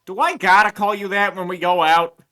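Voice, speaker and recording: Man putting on a high, brash young scout. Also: steady tone, fairly quick, sharp tone. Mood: cocky. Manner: brash, mouthy, leering wisecracks.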